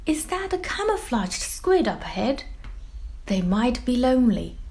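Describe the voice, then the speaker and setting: A woman's voice: a little high, big pitch swings, unhurried, darkish tone, voice pushed up. A woman voicing a playful, friendly little squid in a children's storybook, bubbly and full of exclamation. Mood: bubbly, welcoming.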